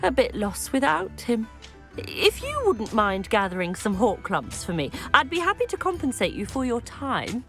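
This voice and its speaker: Kindly old potion-maker: high, swooping delivery, grateful and wistful, music underneath, video game. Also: female voice, sing-song, moderate pace. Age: older. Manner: gentle, effusive, grateful. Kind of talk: video game cutscene acting.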